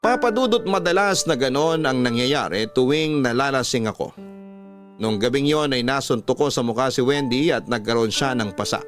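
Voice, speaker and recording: A man playing a remorseful husband and father, narrating his confession in a measured Filipino radio drama style. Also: mid-range voice, natural voice. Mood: regretful, confessional. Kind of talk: first-person dramatized letter narration.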